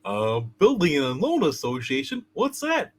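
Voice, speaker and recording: A man's voice: fairly high pitch, huge pitch swings, a little slow, voice pushed down, heavily acted. A man voicing a naive, curious, kindly junior angel with a hesitant, inquisitive manner in an amateur radio play. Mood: eager, curious.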